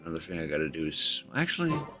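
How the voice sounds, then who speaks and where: A man's voice: very deep voice, big pitch swings, very fast. A man giving casual live commentary as he streams a game, with offhand asides and short reactions. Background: game music.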